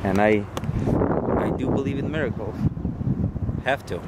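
A young adult man chatting casually and teasingly outdoors as he films a fishing trip on a handheld camera. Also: mid-range voice. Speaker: vlogger filming a fishing trip. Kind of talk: casual off-the-cuff chat. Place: outdoors on a concrete river pier. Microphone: handheld compact camera. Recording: loud wind and rushing water noise. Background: wind, river water.

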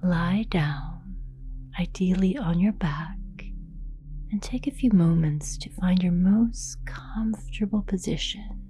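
Very slow, hushed woman guiding meditation. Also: fairly low pitch, soft background music. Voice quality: soft, breathy, near-whispered. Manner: calm, gentle, unhurried with long pauses. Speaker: yoga nidra meditation guide. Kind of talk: guided meditation, slow and soothing narration.

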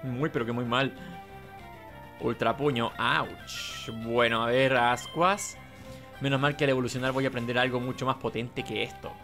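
A man's voice: mid-range voice, fairly even intonation, moderate pace, bright, forceful tone. A man with a Chilean accent giving casual playthrough commentary, repeating himself and muttering as he thinks. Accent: Chilean Spanish. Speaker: gaming video host. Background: video game music behind the voice.

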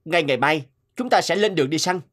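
A man's voice: fairly high pitch, animated, fast talker, fairly bright tone. An actor playing an earnest young king in a fairy-tale cartoon, declamatory, commanding and tender. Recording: music under the voice.

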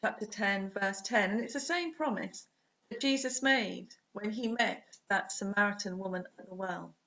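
A woman in her forties reading a scripted sermon as a priest, in measured, deliberate short phrases, recorded on a webcam at home. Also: medium pitch, a bit bright. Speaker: preacher, Anglican priest. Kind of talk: scripted sermon read to camera. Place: small home room, indoors. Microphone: webcam or laptop at desk distance. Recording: dull, muffled webcam audio.

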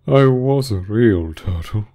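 A man voicing a mournful, touchy old turtle from a storybook, with a drawn-out, sighing delivery. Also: fairly low pitch, darkish tone. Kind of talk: storybook character reading.

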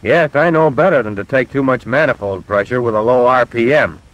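A man's voice: medium pitch, fairly quick, highs cut off, lightly acted. A man playing an eager young student pilot, speaking earnestly in 1940s military training film dialogue. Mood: earnest, curious. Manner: earnest, questioning. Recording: vintage 1940s film soundtrack.

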